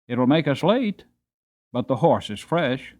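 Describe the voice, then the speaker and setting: A man's voice: mid-range voice, sing-song, unhurried, a little mellow, dry recording. A man voicing a practical, loyal groom in a storybook, earnest and deferential. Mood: practical, concerned. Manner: earnest, deferential to his master.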